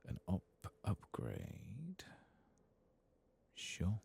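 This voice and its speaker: A man softly commenting on his gameplay in half-whispered, mumbling asides, his voice lower than usual after singing, close to the microphone. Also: huge pitch swings, extremely slow, a little hiss or hum. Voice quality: very deep, gravelly and husky. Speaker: ASMR gaming channel host. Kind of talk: soft-spoken gameplay commentary.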